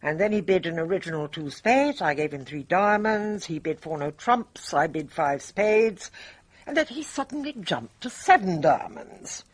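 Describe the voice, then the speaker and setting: A composed, formal English lady with a British accent in a radio play. Her husky voice moves expressively through precise, self-possessed phrasing. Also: fairly low pitch, lively intonation, normal speed. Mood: matter-of-fact. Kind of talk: radio drama acting.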